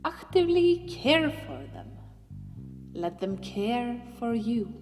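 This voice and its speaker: A woman's voice: a little high, sing-song, takes it slowly, a little mellow, full character voice. A woman playing an advert announcer in a radio opera, delivering scripted product slogans. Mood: smooth selling. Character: female advert announcer promoting a caring device. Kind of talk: mock advertising voice, part sung. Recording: long hall reverb, music behind. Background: music.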